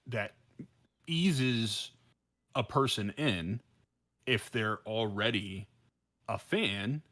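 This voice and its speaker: A man's voice: mid-range voice, pushed and bright. A man co-hosting a podcast in casual, opinionated discussion, often repeating and restarting phrases with exasperated disbelief. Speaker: podcast co-host.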